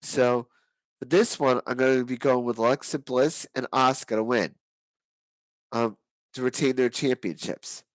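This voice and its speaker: Hoarse man rambling through predictions. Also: medium pitch, steady tone, moderate pace, dry recording, rough recording. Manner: rambling, with many restarts and fillers. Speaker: wrestling predictions vlogger. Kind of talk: casual solo predictions talk.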